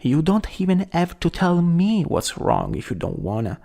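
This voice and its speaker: A young man acting a caring, worried best friend in an ASMR roleplay, gentle, hesitant and intimate. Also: a little high, wildly swooping pitch, moderate pace, gravelly, heavily acted. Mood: gentle, reassuring.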